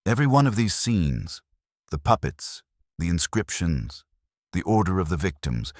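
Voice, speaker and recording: A man voicing a keen, brooding master detective in an audio story, explaining in a deliberate, theatrical manner. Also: low-pitched, dry recording, clean studio sound, exaggerated voice. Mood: intent, revelatory.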